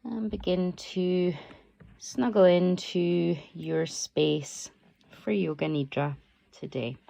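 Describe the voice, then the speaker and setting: A woman teaches guided meditation in a low, mellow voice with a noticeable creak. Her delivery is slow, soothing and permissive, giving gentle instructions without any push. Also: fairly even intonation, no echo. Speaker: yoga nidra teacher.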